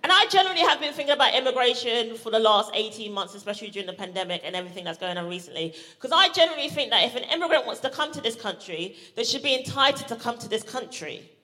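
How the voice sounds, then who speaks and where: A woman's voice: fairly high pitch, expressive, fast, hardly any pauses, sharp tone. Brash South London woman doing stand-up. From Barbados. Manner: brash, punchy, playful with the crowd. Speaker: stand-up comedian. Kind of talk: stand-up comedy set. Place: live comedy venue with audience. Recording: live show with audience laughter.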